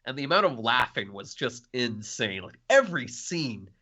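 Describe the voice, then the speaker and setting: A male podcast co-host with a bright, forward voice and lively swings in pitch. He chats casually about movies in loose, informal phrasing. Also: normal speed. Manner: informal, peppered with "like". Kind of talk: casual chat, film discussion.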